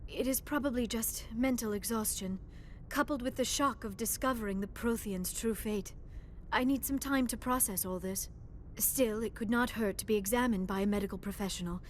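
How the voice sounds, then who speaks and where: An actress playing a gentle alien scientist in a game, speaking politely and with formal precision. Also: female voice, fairly high pitch, normal speed, slight background noise, close to an everyday voice. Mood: tired, thoughtful. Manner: polite, precise, avoids contractions.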